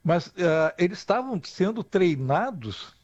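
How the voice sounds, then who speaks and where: A man's voice: high voice, very animated, unhurried, darkish tone, no room sound. An older man from Brazil, a guest talking in a rambling way, restarting often and adding "tá?" tags. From Rio Grande do Sul, Brazil. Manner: rambling, lots of restarts and "tá?" tag questions. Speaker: guest expert. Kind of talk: conversational interview. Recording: dull lo-fi dry sound.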